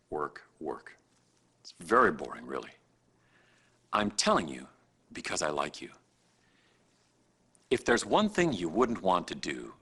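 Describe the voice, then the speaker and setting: An actor playing a smug, vain, middle-aged hospital chief of staff in a video game cutscene, pompous and self-satisfied. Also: male voice, low-pitched, a bit bright, husky, full character voice. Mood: weary complaint. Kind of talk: live-action video game cutscene acting.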